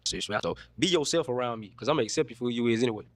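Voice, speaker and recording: Contestant: man, 20s, earnest and insistent, quick, mid pitch. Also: expressive, dry recording. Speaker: chef, dating show contestant.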